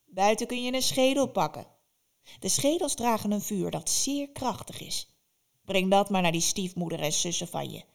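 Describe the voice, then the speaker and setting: A woman voicing a fierce, commanding old witch in a spoken fairy tale, loud and stern. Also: mid-range voice, lively intonation, moderate pace, creaky. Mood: gruff.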